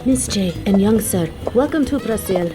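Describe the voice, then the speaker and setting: A woman's voice: medium pitch, expressive, moderate pace, music in the background, natural voice. A woman playing a polite, formal female attendant in a roleplay audio drama, courteous in manner.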